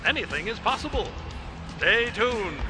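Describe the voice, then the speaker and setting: Cocky goofball mech fight announcer, high excitable voice swooping all over, total ham, video game. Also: male voice, moderate pace, over music. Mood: smug, jubilant. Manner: bombastic, hammy, cocky. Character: brash, self-promoting, goofy mech-fight showman. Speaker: arena commentator and broadcaster. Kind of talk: showy sports broadcast patter.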